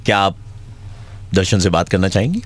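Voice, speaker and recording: A man's voice: sits low, very animated, fairly quick. An Indian man, a radio host, chatting casually on a prank call and switching between Hindi and English.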